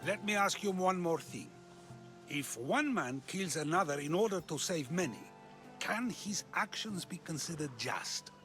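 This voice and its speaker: An actor playing a lofty male philosopher, measured and condescending. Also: a little high, animated, bright, forceful tone, soft background music. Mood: musing.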